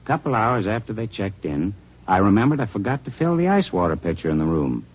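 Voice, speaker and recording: Husky rattled hotel clerk, voice goes up and down a lot, old muffled radio. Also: male voice, lowish voice, very animated. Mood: shaken. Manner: halting, rambling. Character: nervous folksy male hotel clerk. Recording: muffled vintage radio broadcast.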